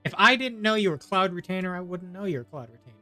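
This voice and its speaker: A male game streamer chats casually as he plays. His voice is bright and a bit high for a man, full of wry, teasing asides, with faint music behind it. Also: expressive, quick pace. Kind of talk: casual playthrough commentary.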